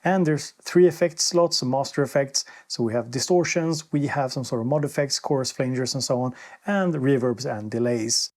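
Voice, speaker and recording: A man's voice: medium pitch, moderate pace. A man talking casually as he hosts a hands-on product demo and review. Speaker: synth reviewer and host. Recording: loud synthesizer music behind. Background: synthesizer demo sounds.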